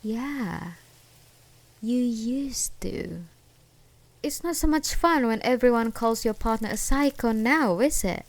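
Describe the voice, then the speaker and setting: A woman playing an obsessed, unhinged ex-girlfriend in an ASMR roleplay, taunting and possessive in a sweetly menacing, giggly way with drawn-out words. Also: fairly high pitch, expressive, no room sound. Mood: menacing, gloating. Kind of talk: ASMR roleplay acting, one side of a conversation.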